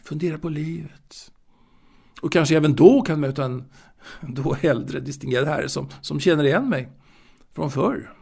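Man playing a lonely, creaky-voiced ageing waiter. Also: fairly high pitch, full character voice. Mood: melancholy, nostalgic. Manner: halting, reflective, fussy, with repetitions and pauses. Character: lonely, melancholy ageing waiter reminiscing about his late mother. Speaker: audio drama actor. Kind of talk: intimate dramatic monologue.

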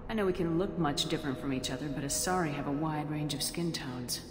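A woman's voice: low-pitched, quick pace, noisy background, lightly acted. A woman voicing a tough, no-nonsense human commander in a video game, with a cool, direct manner. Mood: cool, inquiring. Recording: echoey hall, music behind.

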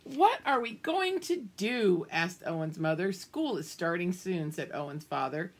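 Animated, husky older woman reading a storybook. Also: on the low side, measured pace. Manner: animated, exaggerated emphasis with asides to the children. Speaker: children's storybook reader. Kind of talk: expressive read-aloud of a picture book for young children. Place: small room at home, indoors.

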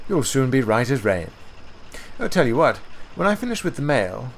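A man's voice: mid-range voice, sing-song, normal speed, slight background noise, voice pushed up. A man voicing a friendly, cheerful, slightly sly female diesel engine in a children's story. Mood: cheery, reassuring.

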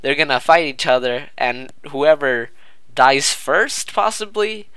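A man's voice: a little high, lively intonation, a little slow, pushed and bright, clean tone, dry recording. A man co-hosting a casual podcast, talkative and emphatic, drawing out his show intro. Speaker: podcast co-host.

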